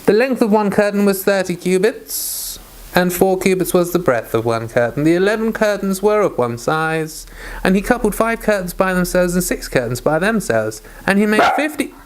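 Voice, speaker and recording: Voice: medium pitch, lively intonation, moderate pace. A person reading Bible scripture aloud as a read-along, occasionally stumbling over and repeating words. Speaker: Bible reader.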